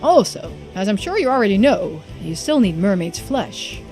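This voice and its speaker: Casting: female performer for a knowing, cryptic adventure-game figure, mid-pitched, courteous, measured and formal. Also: very animated, a little mellow, soft background music, natural voice. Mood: intrigued, cautioning. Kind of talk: voiced game dialogue.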